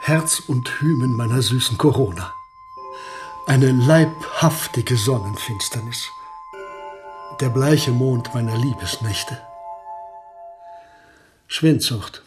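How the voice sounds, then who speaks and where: An actor playing a gallant old gentleman collector in a radio play, speaking in florid, ceremonious phrases sprinkled with French. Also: medium pitch, takes it slowly, pauses often, over music, close to an everyday voice. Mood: wistful, relishing. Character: courtly old gentleman collector of macabre love relics. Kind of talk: German radio play acting.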